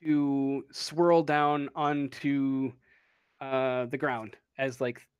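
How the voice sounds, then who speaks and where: A game master improvising narration for a tabletop roleplaying game, pausing often to think. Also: medium pitch, little pitch movement, clear, resonant tone, no room sound, dull audio.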